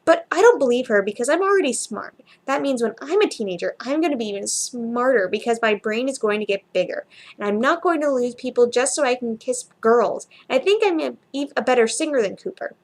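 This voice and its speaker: Woman voicing a chatty, high-pitched little boy. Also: lively intonation, fairly quick, hardly any pauses. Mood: proud, matter-of-fact. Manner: childlike, simple run-on sentences. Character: chatty, earnest four-year-old boy, proud and easily upset.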